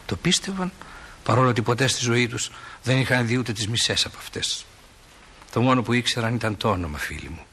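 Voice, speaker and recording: A folksy small-town stage narrator with a low, crisp-edged voice. He muses to the audience with gentle, reflective wryness. A faint layer of noise sits behind him. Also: exaggerated voice. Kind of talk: theatrical narration addressed to the audience.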